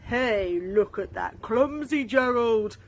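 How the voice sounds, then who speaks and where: A woman's voice: a little high, animated, slow. A woman voicing a mocking crowd of jungle animals in a storybook.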